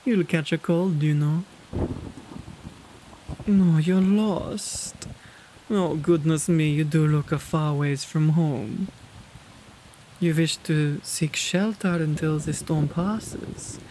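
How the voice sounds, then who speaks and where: A man's voice: fairly high pitch, steady tone, slow pace, pauses often, warm tone, slight background noise, rough recording. A man playing a kind, genteel vampire host in an ASMR roleplay, with doting, courteous, old-fashioned phrasing. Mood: concerned, welcoming.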